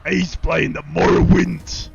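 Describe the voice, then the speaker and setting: A brutish orc warlord, put on by a male streamer, bellows and boasts with a gravelly, rough-edged throat and a slightly raised pitch. He talks slowly and crudely, like a thug who rules by smashing. The stream audio sounds dull and lo-fi. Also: level tone, soft background music, heavily acted. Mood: rowdy. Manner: rowdy, bellowing, crude slang. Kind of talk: role-playing a game live stream.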